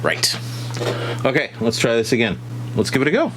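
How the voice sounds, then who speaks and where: A man thinking aloud in casual, halting, playful commentary while he works hands-on with a machine indoors. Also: mid-range voice, sing-song. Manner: halting, muttering to himself, playful. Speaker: vlogger repairing a tape deck. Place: indoors at a repair bench. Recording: clear machine hum and whir.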